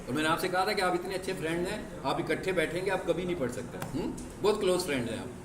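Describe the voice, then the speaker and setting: High-voiced male teacher in an echoey classroom. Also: animated, fast. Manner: explains through rhetorical questions and answers them himself; stern with students about discipline. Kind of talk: classroom lecture. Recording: echoey classroom with faint background noise.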